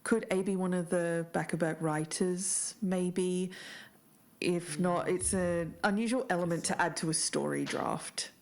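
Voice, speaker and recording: Female podcast co-host, played by an actress, thoughtful researcher type, low-pitched, considered and tentative. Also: steady tone, unhurried, gravelly. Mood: pondering.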